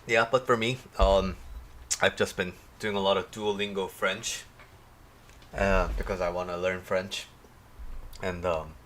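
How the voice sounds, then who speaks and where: A man with a somewhat low voice, a little off from a sore throat, chatting casually on a livestream. He rambles, pauses often and greets viewers by name. A faint hum sits behind him. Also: fairly even intonation, a bit bright. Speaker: life coach hosting a livestream.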